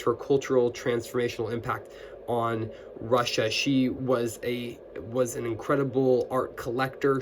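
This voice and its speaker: A young man who is getting over an illness and still sounds scratchy, chatting casually about his new books as a book video host, with a lot of fillers and restarts. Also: mid-range voice. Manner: friendly, with many fillers and restarts. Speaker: booktuber. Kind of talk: casual book haul chat.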